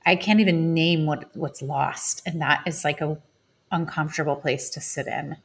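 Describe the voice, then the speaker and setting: A woman's voice: deep voice, steady tone, moderate pace, slightly rough. A woman in her thirties, a stage actress speaking as a podcast guest, thoughtful and searching, with many fillers. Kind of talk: casual podcast interview.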